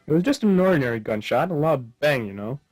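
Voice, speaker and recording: A man's voice: a little high, expressive, fast talker, darkish tone, breathy edge, soft background music. An actor playing a dim, literal-minded male detective hero in a comic radio play. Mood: naive, earnest.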